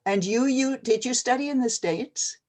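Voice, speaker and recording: An older person from Canada, talking casually and hesitantly with many pauses and fillers. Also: medium pitch, darkish tone. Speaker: retired NGO advisor. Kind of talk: casual video-call conversation.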